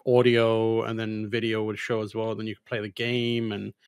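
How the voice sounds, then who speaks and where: A man's voice: fairly low pitch, monotone, moderate pace, smooth, dry recording. A man talking casually as a podcast panelist, close on a microphone. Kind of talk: casual chat. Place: indoor room, close mic. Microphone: microphone on boom arm, headset.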